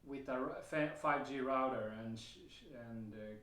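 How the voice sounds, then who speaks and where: A man's voice: medium pitch, normal speed. A man with a Swedish accent sharing a personal experience to camera, hesitant and full of fillers and restarts. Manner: hesitant, many fillers and restarts, occasional soft laughs. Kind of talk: casual personal testimony to camera. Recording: roomy echo.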